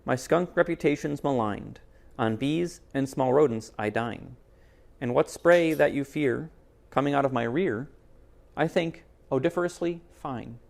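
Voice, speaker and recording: A man voicing a smug striped skunk in a children's picture book, reciting a rhyme in a measured, self-satisfied way. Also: mid-range voice, creaky.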